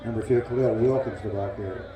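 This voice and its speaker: A man's voice: fairly low pitch, steady tone, fairly quick, lo-fi sound. A man announcing plays and names over an outdoor stadium's public address system in short, formal calls. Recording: echoey stadium PA with crowd.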